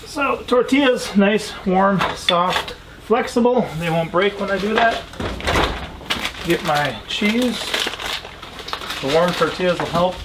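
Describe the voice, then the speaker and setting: A man in his thirties talks through a recipe in a small home kitchen, relaxed and a bit rambling. His voice sits a little high, and a frying pan sizzles clearly beneath his words. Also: slow, pauses often, heavy background noise. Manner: relaxed, rambling, explains as he cooks. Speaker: homestead cooking vlogger. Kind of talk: casual cooking demonstration.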